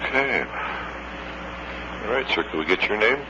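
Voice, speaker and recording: A man's voice: lowish voice, animated, normal speed. A man taking a report on a hotline over a taped phone line, brief, polite and businesslike. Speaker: hotline call taker. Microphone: telephone. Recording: distant muffled noisy taped phone line.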